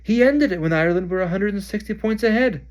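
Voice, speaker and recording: A man's voice: sits high, fast, voice pushed up, lightly acted. A man voicing an indignant teenage boy wizard who bellows in exasperation. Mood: exasperated.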